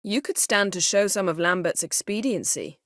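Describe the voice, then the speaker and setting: A woman voicing a proud, sharp-tongued sorceress in a video game, speaking crisp, formal with an imperious, sardonic manner. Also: mid-range voice, normal speed, no room sound, clean studio sound, close to an everyday voice. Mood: cool, commanding. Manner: crisp, formal, haughty and biting. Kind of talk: video game character dialogue.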